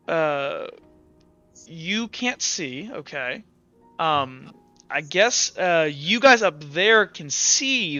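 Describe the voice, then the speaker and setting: A man improvising narration as a game master in a casual, halting way, pausing between phrases. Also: a little high, pushed and bright, smooth. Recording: dull lo-fi audio with background music.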